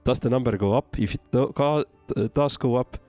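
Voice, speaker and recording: A man's voice: medium pitch, brisk, a little mellow, no room sound, faint music behind. A man from Estonia with an Estonian accent, giving casual livestream game commentary and answering chat in a hesitant, thinking-aloud way. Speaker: game streamer.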